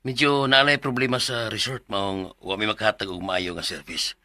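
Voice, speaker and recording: A man's voice: lowish voice, fairly even intonation, moderate pace, bright, forceful tone, no room sound, clean studio sound. An actor with a Filipino Bisaya accent playing a pushy male resort owner in a radio drama. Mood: placating. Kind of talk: Philippine radio drama acting.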